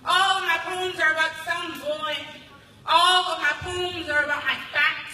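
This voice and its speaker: High, piercingly bright American woman poet, echoing hall. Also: fairly even intonation, unhurried. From Los Angeles, United States. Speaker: spoken word poet. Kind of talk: live spoken word poetry performance. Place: large hall at a conference ceremony. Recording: echoey hall, distant, faint noise.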